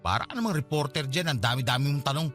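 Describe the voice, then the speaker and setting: A man's voice: medium pitch, very fast, fairly bright tone, soft background music, lightly acted. A man playing a defensive, resentful husband in a Tagalog radio drama, dismissive and argumentative. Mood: defensive, annoyed.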